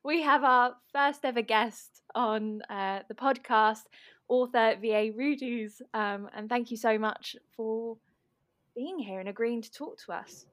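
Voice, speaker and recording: A woman's voice: fairly high pitch, measured pace, fairly bright tone, no echo, clean studio sound. A bubbly woman hosts a casual book podcast, giggling often and filling her sentences with ums as she chats along. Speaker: podcast host.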